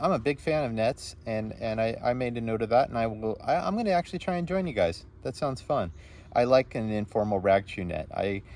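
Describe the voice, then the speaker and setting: A low-voiced man makes casual conversation as an amateur radio operator. He rambles in a friendly, appreciative way. A faint noisy hum sits behind his voice. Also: a little mellow. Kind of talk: casual two-way radio chat.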